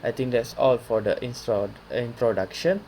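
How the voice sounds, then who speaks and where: A young man with a Malaysian accent gives a scripted student presentation, halting and stumbling over words. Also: mid-range voice, mellow, a little hiss or hum. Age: young adult.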